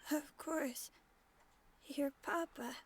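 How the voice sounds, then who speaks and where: A woman's voice: sits high, drawn-out words, a little hiss or hum. A woman voicing a timid, confused little monster boy in a video game, speaking in a hesitant, childlike way. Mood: groggy, timid. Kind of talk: dramatic game voice acting.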